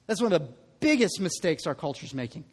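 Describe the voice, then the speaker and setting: A man in his mid-thirties preaching to his congregation in a loose, conversational way. His voice sits high for a man and trails off into the long reverb of a large church hall. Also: big pitch swings, fast, husky. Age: 30s. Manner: conversational preaching, repeats words when starting phrases. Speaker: pastor. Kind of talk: sermon.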